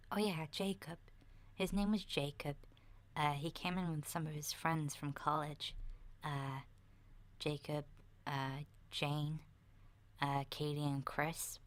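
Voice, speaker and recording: A woman voicing a chatty, candid young girl surviving a zombie apocalypse in a soft, breathy, half-whispered ASMR roleplay, talking in a hesitant, rambling way. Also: low voice. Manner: hesitant, rambling, youthful casual phrasing.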